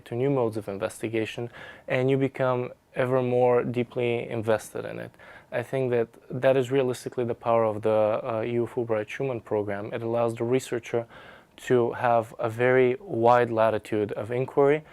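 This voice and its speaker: Smooth-voiced young man, formal and academic. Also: medium pitch, darkish tone, dry recording. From Bulgaria. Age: young adult. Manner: articulate, formal academic wording. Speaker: research scholar, graduate student. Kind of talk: prepared personal testimonial to camera.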